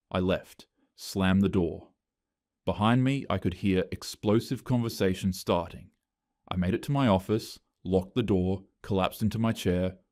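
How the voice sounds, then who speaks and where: A man reads a first-person story aloud in a steady, flat scripted manner. His voice is low and the sound is dry and close to the mic. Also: no echo. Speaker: story narrator.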